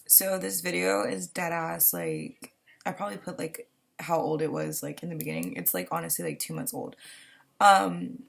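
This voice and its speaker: Young woman, low and very gravelly, speaking quietly and quickly. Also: animated. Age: young adult. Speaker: beauty vlogger. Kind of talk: casual voice-over aside.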